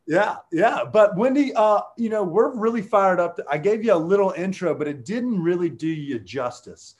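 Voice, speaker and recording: A man hosts a casual podcast. His voice sits high for a man, and he talks with loud, excited bursts. He drops in slang as he goes. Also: normal speed. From US South. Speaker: podcast host. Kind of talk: casual podcast chat and sponsor read.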